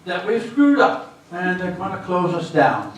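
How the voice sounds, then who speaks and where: Guy acting as a paranoid worked-up boss, highish voice, stage play recorded way off in an echoey hall. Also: huge pitch swings, measured pace, exaggerated voice. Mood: alarmed. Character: anxious, suspicious male boss, paranoid about rivals. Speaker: amateur stage actor. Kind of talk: readers theater stage performance. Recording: echoey hall, distant mic, faint noise.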